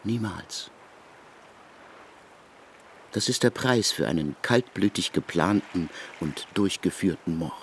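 An actor in a German radio drama playing a scornful criminologist, sarcastic and accusing in a theatrical way. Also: male voice, sits low, animated, slow pace, pauses often, a little hiss or hum, full character voice. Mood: cold. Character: scornful male criminologist confronting a suspected murderer.

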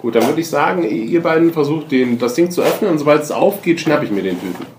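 Dude player in a pen and paper game, talks fast n casual, noisy recording, roomy. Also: male voice, on the high side, animated. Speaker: player in a tabletop role-playing game. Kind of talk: casual game-table chat. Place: indoor room with other players.

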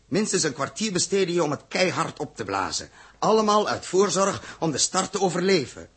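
A scornful spaceship captain in an old radio play. His husky voice swings widely in pitch as he lectures. He is stern, exasperated and sarcastic. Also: very animated, normal speed, fairly bright tone, full character voice. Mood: sarcastic, accusing. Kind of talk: 1960s Dutch radio drama acting.

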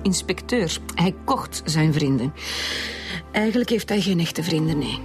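A woman's voice: on the low side, expressive, a little slow. Cynical flemish woman teacher in a radio serial, bit guarded, music under it. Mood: blunt, hesitant. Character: guarded, cynical female maths teacher being questioned. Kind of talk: naturalistic radio drama acting.

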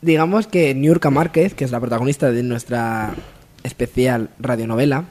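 A man co-hosting a casual comedy radio show, speaking in a halting, drawn-out, mock-solemn way. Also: mid-range voice, a little mellow. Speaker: radio show co-host and scriptwriter.

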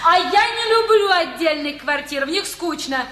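High-pitched, chatty, fussy relative. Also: female voice, expressive, normal speed, a bit bright. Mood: gushing. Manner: gushing, gossipy. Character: chatty, gushing, fussy visiting female relative from town. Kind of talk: radio play acting. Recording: roomy, audibly noisy radio drama recording.

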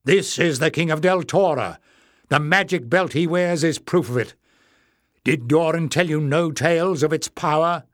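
A man's voice: mid-range voice, sing-song, slow pace, fairly bright tone, dry recording, clean studio sound, full character voice. A man voicing an earnest male peacemaker in a fantasy storybook, calling out solemnly. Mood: earnest, appealing.

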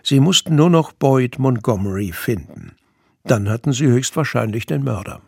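A man's voice: medium pitch, lively intonation, moderate pace, vocal fry, breathy edge, dry recording, studio quality. A man reading scripted fiction narration in German as the narrator of an audio drama.

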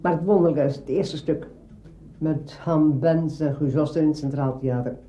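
Older man, very mellow, higher voice. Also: fairly even intonation, moderate pace. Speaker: interviewee, veteran radio drama maker. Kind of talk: spontaneous anecdotal talk. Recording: dull, roomy archive recording.

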